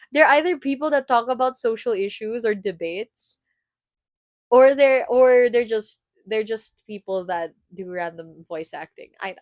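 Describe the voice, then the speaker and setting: Woman streamer from the Philippines with a high-leaning voice, loose rambling chat full of restarts and laughs, dry sound. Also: dry recording. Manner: rambling, self-interrupting, laughs often. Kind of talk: casual live-stream chat.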